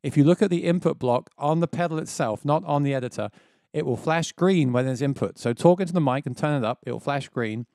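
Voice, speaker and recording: Man, thirties, upbeat gear tutorial host, slightly high pitch, close dry mic, small home studio. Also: a little fast, vocal fry, dark tone, no room sound. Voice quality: describes his own voice as quite low. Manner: enthusiastic, explains step by step. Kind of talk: casual spoken tutorial. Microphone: dynamic mic on a boom close to the face.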